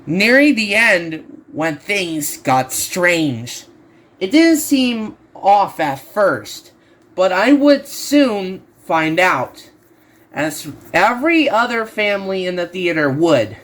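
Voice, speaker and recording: Slow, high-pitched man reading aloud haltingly. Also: a bit bright. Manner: halting, stumbles and repeats words while reading. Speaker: narrator of horror stories. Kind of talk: amateur creepypasta reading aloud.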